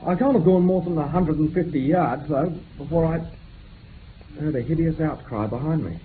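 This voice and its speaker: An actor playing a distressed, guarded young man giving halting, earnest testimony in a radio drama. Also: on the high side, lightly acted. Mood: anguished. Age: young adult. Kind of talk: 1960s British radio drama acting. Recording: tinny, band-limited old radio recording with hiss.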